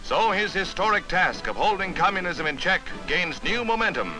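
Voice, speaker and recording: American man, 1950s newsreel narration, animated swooping intonation, formal and emphatic, music behind. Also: high-pitched, normal speed. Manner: formal, emphatic, dramatic announcer delivery. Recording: vintage newsreel soundtrack with music.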